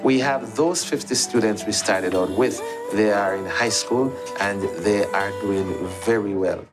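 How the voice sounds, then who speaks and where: Proud man over loud background music. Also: lowish voice, measured pace, low-quality recording. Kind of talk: reflective interview.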